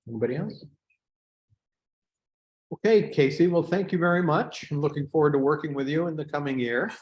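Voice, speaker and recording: A man's voice: a little high, a little fast, darkish tone. A man chairing a local board meeting, moving through the agenda in informal procedural talk. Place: meeting room. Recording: dull, muffled room recording.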